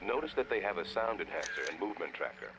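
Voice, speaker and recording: A man's voice: sits low, very animated, fast, over music. A man voicing a composed, urgent insider ally who gives matter-of-fact instructions in a video game. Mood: calm briefing. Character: male insider ally guiding a fugitive by radio, composed, urgent. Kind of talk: video game cutscene voice acting.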